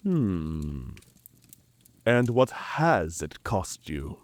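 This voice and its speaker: A man's voice: low-pitched, sing-song, extremely slow, heavily acted. A man playing a proud, curious werewolf outcast in an audio drama, with an emphatic, theatrical delivery. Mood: probing, blunt. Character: proud, curious male werewolf outcast, devoted to pack tradition. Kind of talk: cinematic audio roleplay acting.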